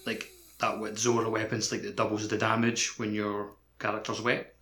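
A man's voice: on the low side, level tone, moderate pace, a bit bright, a little hiss or hum. A man co-hosting a podcast, chatting casually about a game in loose, colloquial phrasing. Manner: colloquial, peppered with "like". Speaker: podcast co-host. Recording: roomy, echoey microphone sound.

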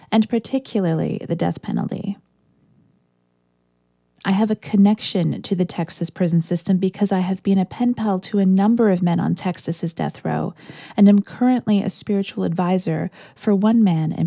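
A woman's voice: mid-range voice, vocal fry, warm tone, no echo, studio quality. A woman, a minister hosting a podcast, giving a scripted introduction with casual asides. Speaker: podcast host and church minister.

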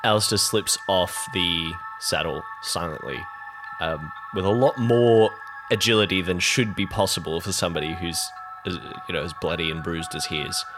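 A man's voice: deep voice, moderate pace. A man playing a tabletop roleplaying game, improvising descriptive narration of his character and the scene, feeling for his words. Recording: loud music under the voice. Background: music.

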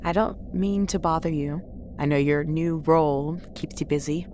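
A woman playing a hurt but quietly challenging ship's cook in an audio drama. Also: sits low, moderate pace, a little hiss or hum, close to an everyday voice. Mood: hesitant, hurt. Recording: music under the voice.

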